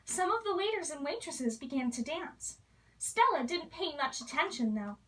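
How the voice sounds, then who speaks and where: A woman reads a children's story aloud in an expressive storybook style, playing the role of a princess. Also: high-pitched, moderate pace, far from the mic, low-quality recording.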